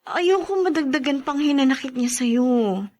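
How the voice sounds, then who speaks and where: An actress playing a soft-hearted, pleading young pregnant wife in a radio drama. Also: female voice, high-pitched, lively intonation, normal speed. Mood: pleading, sympathetic.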